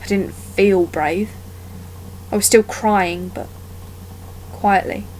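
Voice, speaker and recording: A woman performing a dramatic monologue as a wounded young woman haunted by a childhood attack, telling it haltingly with deliberate pauses. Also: mid-range voice, fairly even intonation, a little mellow, a little hiss or hum, close to an everyday voice, rough recording. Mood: pained, subdued.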